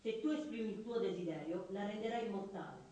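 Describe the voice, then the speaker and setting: Un attore che interpreta un omino ingenuo, testardo e sognante in un radiodramma, con battute brevi e un fare infantile e serio. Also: male voice, sits high, fast talker, mellow, close to an everyday voice. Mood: dreamy earnest. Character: naive, stubborn, dreamy little man of few words. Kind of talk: theatrical radio drama dialogue. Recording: very echoey, distant stage-like sound.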